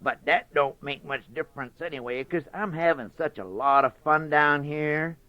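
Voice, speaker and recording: A man's voice: fairly high pitch, normal speed, no room sound, voice pushed up, lightly acted. A man voicing a sly, boastful male trickster rabbit in folksy storybook dialect. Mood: sly, chummy. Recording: dull dry lo-fi recording.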